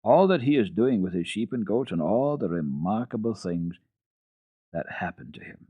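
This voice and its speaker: A man's voice: on the low side, sing-song, husky. Man reading as a kindly old grandmother, band-limited radio sound. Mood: encouraging, firm. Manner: coaxing, deliberate, instructive. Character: kindly old grandmother, gentle, encouraging, firm. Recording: narrow-band, echoey old radio recording.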